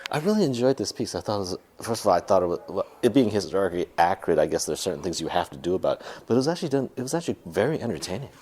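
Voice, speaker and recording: A man's voice: deep voice, big pitch swings, racing, hardly any pauses, a little mellow, breathy edge. A man working as a radio host, doing interviews and paying effusive compliments. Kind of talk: on-location interview.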